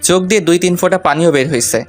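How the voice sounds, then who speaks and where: Male narrator, slightly high voice, playful colloquial romantic storytelling over light music. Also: fast talker. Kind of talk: first-person romantic story narration with acted dialogue.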